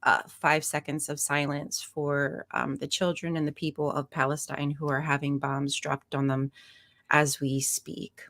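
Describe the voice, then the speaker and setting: A woman's voice: low-pitched, flat delivery, measured pace, a bit bright. A woman co-hosting a casual chat show, blunt and outspoken. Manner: blunt and outspoken, laughs easily. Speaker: podcast co-host.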